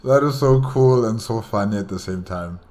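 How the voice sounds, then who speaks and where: A man's voice: on the low side, moderate pace, a little mellow, soft background music. A man giving casual reaction commentary, thinking aloud in a hesitant way. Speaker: reaction video host.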